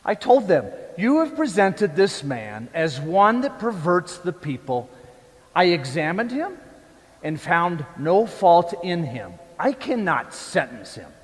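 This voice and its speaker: A man playing a troubled, self-justifying Roman governor in a church dramatic monologue, speaking emphatically and deliberately. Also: sits high, very animated, heavily acted. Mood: insistent. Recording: echoey church hall with long reverb.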